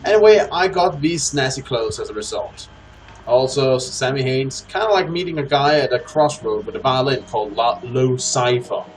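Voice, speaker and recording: A man hosting a gaming let's play, chatting casually to his audience and reading game text aloud in an animated way. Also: medium pitch, moderate pace, low-quality recording.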